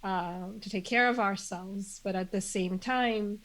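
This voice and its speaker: A woman guest with a congested voice, speaking earnestly and in measured phrases, with many fillers. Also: medium pitch, a bit bright. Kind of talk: reflective conversational interview.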